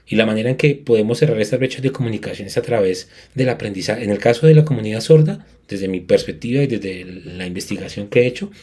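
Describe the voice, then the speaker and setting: A man's voice: on the low side, quick pace, few pauses, darkish tone, slightly rough. A man from Colombia giving an academic conference talk as a music educator, earnest and emphatic, with frequent restarts.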